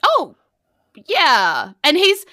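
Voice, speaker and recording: A woman game master improvises casually for her players. Her voice is bright and very lively in pitch, with an informal, giggly manner. The sound is dry. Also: very slow, no echo.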